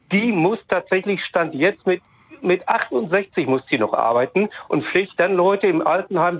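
A man in his fifties calling in to a radio talk show, blunt and indignant, with many fillers. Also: fairly high pitch. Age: 50s. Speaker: caller. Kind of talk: call-in radio comment. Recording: tinny phone line.

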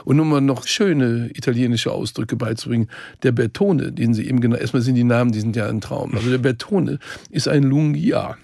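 A male radio co-host with a mid-pitched, husky voice full of gravelly creak. He talks conversationally and effusively, with open enthusiasm, as a food reporter. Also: brisk, warm tone, no echo, clean studio sound.

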